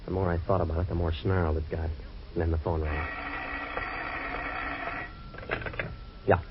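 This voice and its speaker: A hard-boiled waterfront tough, deep-voiced and quick with a dry wisecrack. He narrates in clipped, sardonic lines. The old radio recording sounds dull and carries a faint hiss. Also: flat delivery. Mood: narrating. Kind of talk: 1940s radio drama acting.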